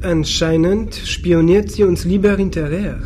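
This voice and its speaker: A man's voice: sits high, steady tone, unhurried, a little mellow. An actor playing a clipped, matter-of-fact male starship crew member giving reports in a German science fiction audio drama. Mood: businesslike. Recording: clear noisy spaceship ambience.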